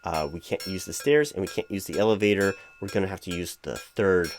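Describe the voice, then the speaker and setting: Over background music, a man playing a flustered, officious hiring manager speaks in a low voice. He stammers and fusses through office procedure in a comedic one-sided interview roleplay, halting and restarting as he goes. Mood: flustered, apologetic. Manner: halting, stammering, fussy and bureaucratic. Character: flustered, exasperated, officious male hiring manager, distracted, apologetic.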